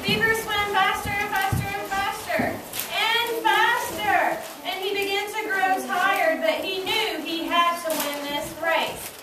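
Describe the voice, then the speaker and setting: A woman teacher telling a children's story slowly and theatrically, with emphatic repetition and drawn-out words. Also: extremely high pitch, fairly even intonation. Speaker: teacher narrating a children's puppet show. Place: classroom, recorded from across the room. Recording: echoey classroom, distant camera mic, noisy.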